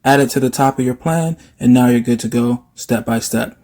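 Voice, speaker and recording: A man delivers a promotional pitch as a fitness coach. His voice sits at an average pitch, and he speaks directly to potential clients. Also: normal speed, darkish tone. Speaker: personal trainer and nutrition coach.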